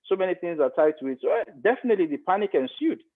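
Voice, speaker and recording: A man's voice: sits high, lively intonation, very fast. A man with a Nigerian accent in a podcast discussion, explaining earnestly and repeating points for emphasis. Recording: muffled phone-like audio.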